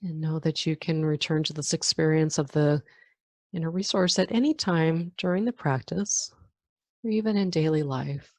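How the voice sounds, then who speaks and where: A woman guiding a meditation as a teacher, giving calm, gentle instructions with long pauses between phrases. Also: low voice, no echo. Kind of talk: guided meditation.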